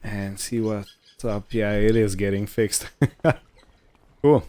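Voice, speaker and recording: A man giving casual roleplay game commentary, thinking aloud in short, unhurried phrases over a background hum. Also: fairly low pitch, fairly even intonation, warm tone. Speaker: gaming video host.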